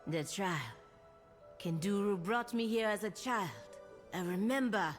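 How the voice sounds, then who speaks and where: A woman's voice: medium pitch, a little slow, faint music behind, natural voice. An actress voicing a fierce young troll warrior woman in a fantasy video game, blunt, intense and defiant. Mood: resolute.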